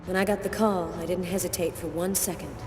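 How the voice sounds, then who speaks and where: A woman's voice: on the low side, steady tone, a little fast, music in the background, lightly acted. A woman playing a determined, skeptical police investigator in a video game, probing and matter-of-fact. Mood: serious, reflective. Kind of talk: naturalistic video game acting.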